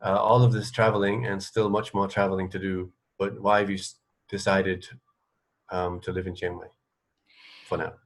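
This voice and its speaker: A man with a very deep voice and a flat, even delivery hosts a casual interview. He phrases his questions haltingly, reworking them as he speaks. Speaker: radio interview host.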